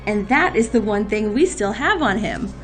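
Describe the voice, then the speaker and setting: A woman's voice: mid-range voice, animated, normal speed, soft background music, natural voice. A woman playing a commanding, dry-witted agency boss in an audio drama, decisive and authoritative. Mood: thoughtful, assertive.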